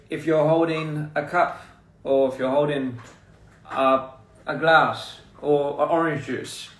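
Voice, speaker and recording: A man's voice: mid-range voice, slight background noise. Laid-back guy on a phone livestream. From Australia. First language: English. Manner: relaxed, rambling, laughing between lines. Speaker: livestreaming artist. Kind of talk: casual live chat with fans. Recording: echoey room, distant phone mic.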